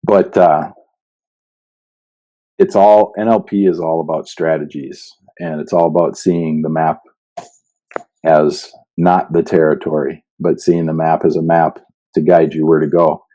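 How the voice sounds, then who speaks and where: A man co-hosts a podcast in casual, conversational fashion. His voice sits low, with a soft, mellow tone. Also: flat delivery, unhurried, rough recording. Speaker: podcast co-host. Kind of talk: casual chat.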